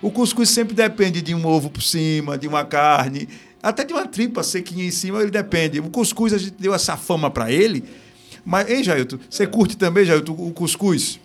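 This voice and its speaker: Northeastern Brazilian male host, pitched a bit high, chatty live-stream energy. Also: moderate pace, faint music behind. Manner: lively and chatty, with playful regional exclamations. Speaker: host of a live business talk show. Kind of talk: casual live-stream chat.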